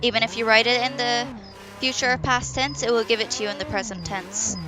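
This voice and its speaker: A woman's voice: on the high side, flat delivery, a little fast, music in the background. A young Irish woman chatting casually as a co-host on a gaming stream, explaining things with plenty of laughs. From Ireland. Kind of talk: casual chat. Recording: noisy stream with car sounds.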